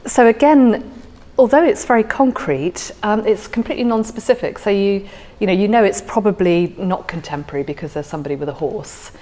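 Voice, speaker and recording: A woman's voice: medium pitch, a little fast, few pauses, dark tone, long reverb tail. A woman talking reflectively about poetry as an interview guest, thoughtfully repeating words as she goes. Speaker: podcast guest discussing a favourite poem.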